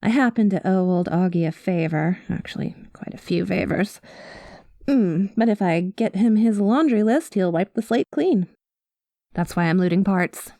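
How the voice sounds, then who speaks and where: Casting: actress for cynical female space smuggler, ASMR roleplay. Medium pitch, wry conversational asides, guarded with a soft spot, very little room sound. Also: moderate pace, no echo, close to an everyday voice. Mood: wry, confiding. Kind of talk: ASMR roleplay addressed to the listener.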